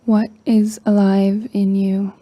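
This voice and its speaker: A woman leading a guided meditation in a soft, breathy, near-whispering voice, calm and gentle with long pauses. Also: medium pitch, dry recording, lo-fi sound. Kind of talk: slow guided meditation narration.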